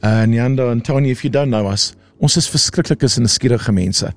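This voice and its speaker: A man's voice: medium pitch, a little fast. A South African man hosting a casual live radio show, chatty, teasing and curious with his guests.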